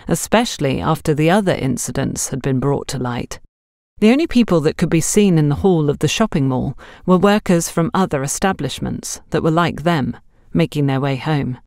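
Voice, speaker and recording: A woman's voice: low voice, darkish tone, dry recording, clean studio sound. A woman reading a scripted story as an audiobook narrator.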